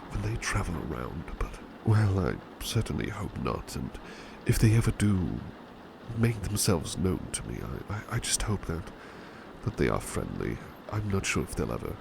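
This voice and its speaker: A man playing a devoted servant turned talking plush toy in a soothing ASMR roleplay, soft-spoken, reassuring and politely formal, a little hesitant. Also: on the low side, vocal fry, noisy background. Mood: hesitant, hopeful.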